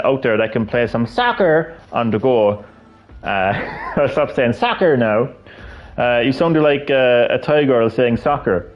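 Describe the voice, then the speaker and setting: A man's voice: medium pitch, highs cut off. A man, chatting casually while he plays a fighting game, rambling with lots of fillers and restarts. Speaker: gaming streamer. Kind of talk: casual gameplay commentary.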